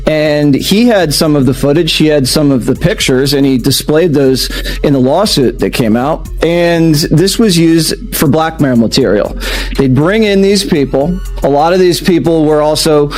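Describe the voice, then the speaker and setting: Man, slightly high pitch, explanatory, punchy listing delivery, soft music behind. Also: low-quality recording. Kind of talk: explanatory talk.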